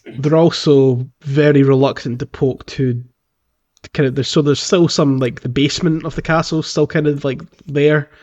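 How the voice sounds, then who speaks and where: A man's voice: fairly high pitch, fairly even intonation, normal speed, a little mellow. A man co-hosting a gaming podcast in casual, conversational talk. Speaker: podcast host. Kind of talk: casual game discussion podcast. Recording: slightly dull, lo-fi voice track.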